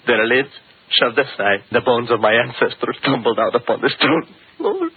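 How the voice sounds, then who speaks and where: An actor playing a haunted, polite but fearful count in 1940s radio mystery style, speaking formally and dramatically. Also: male voice, medium pitch, sing-song, normal speed, husky. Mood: shaken, grave. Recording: muffled, lo-fi 1940s radio recording.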